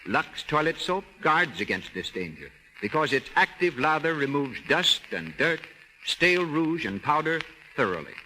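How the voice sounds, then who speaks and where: American guy doing old 30s radio ad copy, very animated, bright, slight background noise. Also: fairly high pitch, moderate pace. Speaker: radio announcer. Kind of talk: 1930s scripted advertising announcement. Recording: faintly noisy 1930s radio recording.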